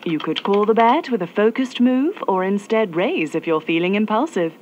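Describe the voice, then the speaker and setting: An actress playing a calm female mission handler, speaking measured instructions to an agent over an earpiece. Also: mid-range voice, lo-fi sound, slight background noise. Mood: composed. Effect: earpiece radio.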